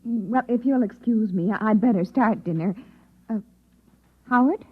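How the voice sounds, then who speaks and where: Anxious, loving wife played by an actress, higher-pitched and expressive, tinny vintage radio. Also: female voice, measured pace, background noise, natural voice. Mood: concerned. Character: anxious, affectionate housewife, polite but puzzled. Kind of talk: old-time radio drama acting. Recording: tinny narrow-band vintage radio, clicks.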